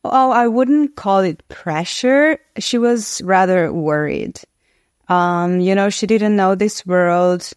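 A woman's voice: mid-range voice, takes it slowly, a little mellow, clean tone, no echo, clean studio sound. A woman in her forties from Hungary, a native Hungarian speaker with a Hungarian accent, telling her story earnestly and reflectively in an interview. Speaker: guest actress.

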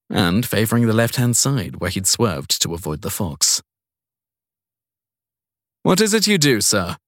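Low, husky man narrating crime fiction. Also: no room sound, studio quality. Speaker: audiobook narrator.

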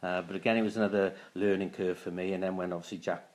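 A man in his late fifties from Wales, a former footballer, talking through his career in a casual interview, often pausing and restarting his sentences. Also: sits low, little pitch movement, a little mellow. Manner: halting, many restarts and fillers.

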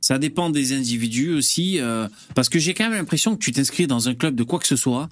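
A man's voice: medium pitch, expressive, a little fast, dry recording. Dry-sounding man in his forties. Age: 40s. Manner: musing, conversational, filler-heavy. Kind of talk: casual chat.

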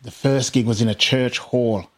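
Husky British man with Caribbean lilt. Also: medium pitch, normal speed. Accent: British English with Jamaican Caribbean touches. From England. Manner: laughs often, animated anecdotes. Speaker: musician guest. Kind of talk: casual interview storytelling.

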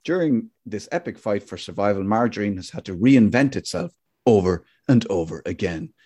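A man's voice: lowish voice, expressive, moderate pace, mellow. A man hosting a casual podcast chat, reacting to his companion and reading short bits aloud. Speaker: podcast host.

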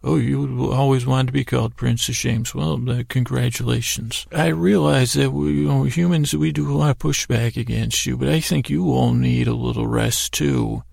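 Very creaky, rambling male bedtime podcaster. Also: mid-range voice, fairly even intonation, no room sound, clean studio sound. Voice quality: creaky, gravelly. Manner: meandering, halting, stammering with repeated words; whimsical, gently addressing imagined listeners. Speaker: podcast host.

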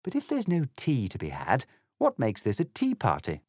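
A man's voice: medium pitch, huge pitch swings, moderate pace, dry recording, studio quality, pitched above the performer's own voice. A man voicing a prim, polite young girl from Victorian England.

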